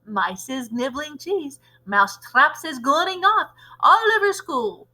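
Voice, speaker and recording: A woman's voice: sits high, expressive, slow, pitched above the performer's own voice, heavily acted. A woman acting out a disgusting old witch leader from a children's storybook, gleefully cruel, with theatrical, chanting delivery and broken grammar. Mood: gloating, shouting. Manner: ungrammatical, chanting, theatrical shouting.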